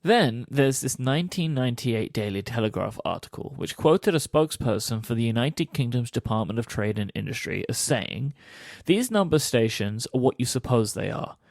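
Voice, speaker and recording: A man's voice: mid-range voice, expressive, moderate pace, creaky, dry recording, clean studio sound. A man co-hosting a podcast, switching between scripted explanation and teasing banter. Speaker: podcast co-host.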